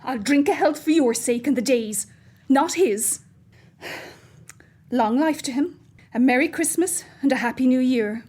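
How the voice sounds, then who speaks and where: A brisk, sharp-tongued mother, played by a woman orders her family around in a radio play. Her voice is high and somewhat husky. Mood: scornful. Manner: bossy and scornful.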